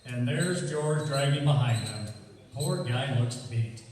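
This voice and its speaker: A man's voice: medium pitch, lively intonation, slow, a little mellow, slight background noise. An actor playing an easygoing, wry small-town neighbour man in a stage radio play. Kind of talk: stage radio theatre acting. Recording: echoey hall from far off.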